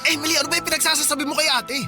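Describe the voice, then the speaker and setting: A woman's voice: sits high, steady tone, racing, over music, natural voice. An actress voicing a young man caught in the middle, talking in a pleading, conciliatory way. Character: young man caught between partner and sister, placating. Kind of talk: radio drama acting.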